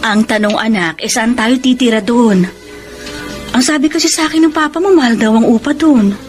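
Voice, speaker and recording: A woman's voice: a little high, moderate pace, natural voice. A woman with a Filipino accent playing a patient, lonely wife and reassuring mother in a radio drama. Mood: gentle, reassuring. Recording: radio drama with loud music underneath.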